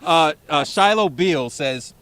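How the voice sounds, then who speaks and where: A young man co-hosting a post-game sports show, joking and stammering through quick restarts. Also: fairly high pitch, expressive, a crowd in the background. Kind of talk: casual post-game chat.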